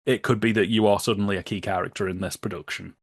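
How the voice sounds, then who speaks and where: A man in casual conversational commentary, telling anecdotes in an amused, animated way. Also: on the low side, fast talker, husky, dry recording, clean studio sound. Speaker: podcast guest.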